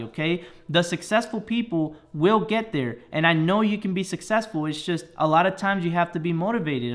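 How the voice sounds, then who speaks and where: A man's voice: fairly high pitch, a bit bright. A man in his thirties from the Dominican Republic, giving an earnest motivational talk to camera and ending his points with "okay?". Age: 30s. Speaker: personal finance YouTuber.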